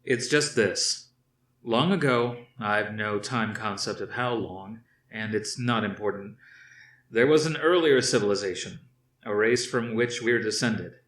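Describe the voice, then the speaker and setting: A man doing a measured, stern old fleet commander. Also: on the low side, fairly bright tone, roomy sound, recorded from a distance. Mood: explaining. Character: stern older male fleet commander, burdened father.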